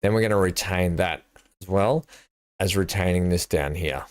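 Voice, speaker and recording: A man's voice: sits low, moderate pace, no room sound. A man streaming a building game, casually thinking aloud as he places things. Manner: thinks aloud, relaxed, mumbles placement choices. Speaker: gaming streamer. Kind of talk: casual live-stream commentary while building.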